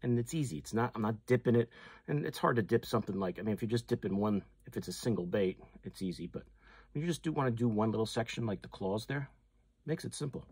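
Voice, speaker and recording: A man's voice: lowish voice, animated, fast, darkish tone, slightly rough, no echo. A man, hosting a fishing channel and chatting casually through a hands-on demonstration, full of fillers and restarts. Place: tackle shop or room with walls of packaged lures, indoors.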